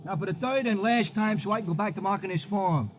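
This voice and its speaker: Over a dull, faintly noisy old radio recording comes an actor as a talkative racetrack gambler. His voice is high and fussy. He says his slangy phrases over again. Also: fast, close to an everyday voice. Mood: fussy, chatty. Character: chatty, fussy male racetrack gambler on a train. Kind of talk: 1950s radio comedy character acting.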